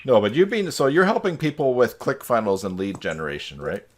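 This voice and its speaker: A man's voice: medium pitch, wildly swooping pitch, fairly quick, slight background noise. A man hosting a casual live group chat over a video call in a joking manner. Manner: joking, chuckling. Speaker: host of a live stream.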